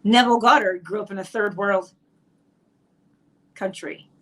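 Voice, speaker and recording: A woman's voice: mid-range voice, steady tone, unhurried, fairly bright tone, low-quality recording. Barbadian lady, middle-aged, preaching about spirit and law, emphatic, asks and answers her own questions. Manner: emphatic, rhetorical questions, acted quotes. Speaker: spiritual teacher. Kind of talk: monologue on manifestation.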